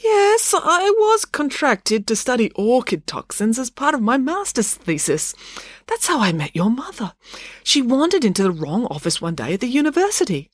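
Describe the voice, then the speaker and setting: A careful academic dad in a children's story, voiced by a woman narrator. He explains things earnestly and hesitates over decisions. His pitch is raised and moves around, in a dry recording. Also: sing-song, moderate pace, no echo. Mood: grave. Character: earnest academic father, plant toxin expert, careful and hesitant.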